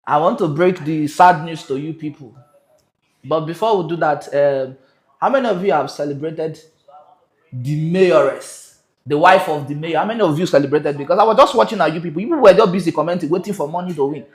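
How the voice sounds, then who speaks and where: Man, Nigerian accent, livestream host, lively, high voice, roomy echo. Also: fairly quick. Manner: lively, chatty, mixes pidgin. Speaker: live stream host. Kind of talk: livestream talk to viewers.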